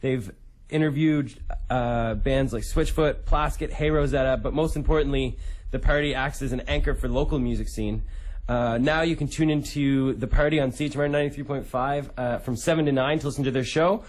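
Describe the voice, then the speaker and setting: A man's voice: mid-range voice, fairly even intonation, a little fast. A man hosting a radio interview in a studio, a bit hesitant with frequent "uh" fillers. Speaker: radio host.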